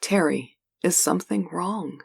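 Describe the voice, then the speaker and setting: A woman playing a gentle, worried wife in a comic book dub. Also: on the low side, measured pace, creaky, husky, dry recording, studio quality, exaggerated voice. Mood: concerned, tender.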